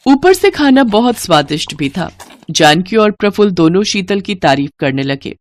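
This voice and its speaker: Lively female storybook narrator. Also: medium pitch, normal speed, dry recording, studio quality.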